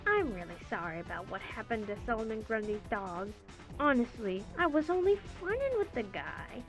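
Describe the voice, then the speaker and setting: A woman's voice: a little high, rough recording. A woman playing a needy, gleefully psychopathic clownish male supervillain in a parody radio play, wheedling with false innocence.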